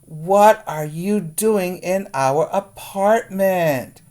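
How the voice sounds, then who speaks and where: A man voicing a young boy who blurts out news loudly and urgently. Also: high voice, extremely slow, pitched above the performer's own voice. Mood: amazed.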